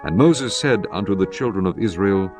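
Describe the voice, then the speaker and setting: A man reading scripture as a narrator, in a measured, formal scripted style. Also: sits low, expressive, a little mellow. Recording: music bed behind the voice.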